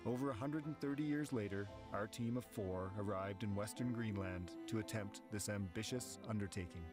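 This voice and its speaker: A man reading measured, steady scripted narration for an expedition documentary. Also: on the low side. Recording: voice-over with background music.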